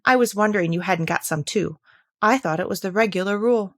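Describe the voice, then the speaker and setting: A woman's voice: mid-range voice, a little fast, no room sound, clean studio sound, lightly acted. A woman voicing a haughty, blunt rose in a storybook, with a snooty and severe manner. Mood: patronizing. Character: haughty, blunt, condescending female talking rose flower.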